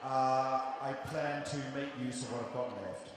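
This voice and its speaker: A man's voice: medium pitch, measured pace, over loud music. A man in his forties hosting a question session for children after a play, chatty and jokey as he talks straight to the kids. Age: 40s. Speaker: actor hosting a post-show Q&A. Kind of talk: cheerful audience Q&A with children. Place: theatre stage in a large hall. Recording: echoey hall recorded from far off.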